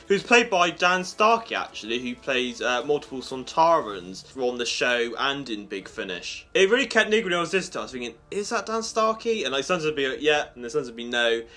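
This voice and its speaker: Bright-voiced, enthusiastic man reviewing. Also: mid-range voice, very animated, fairly quick. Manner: enthusiastic, chatty, informal. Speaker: YouTube reviewer and host. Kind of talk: casual review of an audio drama, spoken to viewers. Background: music under the voice.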